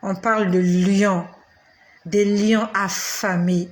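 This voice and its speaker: A woman in her forties preaching a sermon straight to the listener, with slow, emphatic repetition and dramatic pauses. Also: medium pitch, steady tone. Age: 40s.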